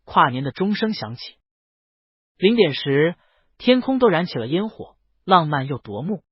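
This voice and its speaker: A man's voice: on the high side, very animated, moderate pace, husky, dry recording, lo-fi sound. A man narrating a scripted first-person story as an audiobook reader.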